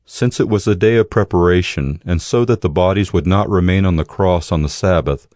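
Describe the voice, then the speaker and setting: A man's voice: low voice, brisk, a little mellow, dry recording, studio quality. A man reading a scripted, dramatized scripture narration. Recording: music bed under the voice.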